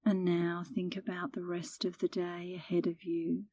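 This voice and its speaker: Woman, middle-aged, lowish voice, guided meditation, calm and unhurried, soothing delivery, close dry recording. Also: no echo. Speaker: meditation instructor.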